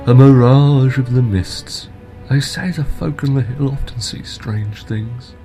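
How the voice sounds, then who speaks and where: An actor playing an earnest, impatient human adventurer with formal, archaic phrasing in a fantasy audio drama. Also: male voice, medium pitch, measured pace, warm tone, natural voice. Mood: earnest, urgent. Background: music.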